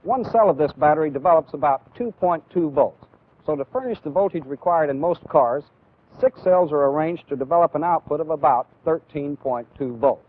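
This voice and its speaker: The sound is narrow and tinny, like an old training film. An American man speaks in a somewhat high voice, explaining things as an instructor would. His delivery is deliberate and methodical, built for a demonstration lecture. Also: lively intonation. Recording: phone-like, band-limited old training film audio.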